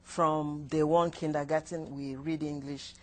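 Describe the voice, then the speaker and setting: A Nigerian woman whose first language is Igbo, answering interview questions haltingly, with restarts and short yes answers. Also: deep voice, level tone. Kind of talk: recorded counseling interview.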